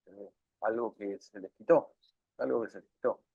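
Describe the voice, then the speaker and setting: A man answering interview questions in an earnest, measured way, often repeating phrases for emphasis. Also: lowish voice, vocal fry, mellow, slightly rough, no echo, highs cut off. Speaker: interview guest, documentary filmmaker.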